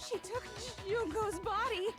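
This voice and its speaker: A woman's voice: very high voice, unhurried. A woman voicing an earnest teenage schoolgirl working out a mystery in an amateur game dub. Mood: insistent. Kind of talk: amateur voiced reading of game dialogue. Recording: game music behind the voice.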